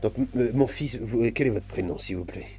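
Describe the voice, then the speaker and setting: A man's voice: medium pitch, brisk, dark tone, husky, soft background music. A man improvising a cheeky, mock-solemn priest in an online roleplay game, with formal, polite phrasing that slips into crudeness. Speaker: gaming video creator playing a priest.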